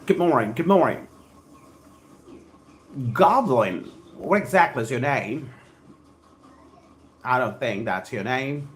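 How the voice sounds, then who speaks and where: A man's voice: mid-range voice, expressive, slow pace, pauses often, a little hiss or hum. A man with a South Indian accent teaching English on a live stream, reading aloud and addressing viewers in an effusive, formal way, repeating his phrases. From Andhra Pradesh, India. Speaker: spoken English trainer hosting a live stream.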